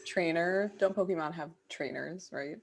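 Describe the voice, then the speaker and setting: A woman's voice: lowish voice, normal speed, over a noisy crowd. A young woman co-hosting a podcast in casual chat, playfully guessing aloud and giggling. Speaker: podcast co-host.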